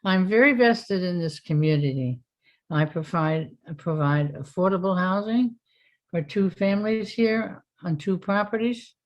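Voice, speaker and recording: Slow, low older woman on a video call. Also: highs cut off. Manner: slow, formal, repeats words. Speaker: town clerk candidate. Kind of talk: prepared campaign statement.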